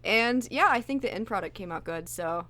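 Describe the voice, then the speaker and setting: A woman's voice: medium pitch, animated, fairly quick, crowd noise. A woman chatting casually as an actress being interviewed as a guest.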